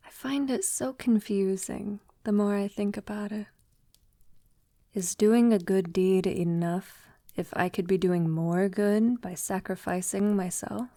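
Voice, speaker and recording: Woman acting a gentle female vampire lover, mellow, unhurried, hushed and tender, troubled conscience, ASMR roleplay, close dry recording. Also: medium pitch, steady tone, no echo, natural voice. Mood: pensive, troubled. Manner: soft, tender, hesitant, often dropping to a whisper. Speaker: audio roleplay performer. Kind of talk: ASMR girlfriend roleplay, soft intimate acting.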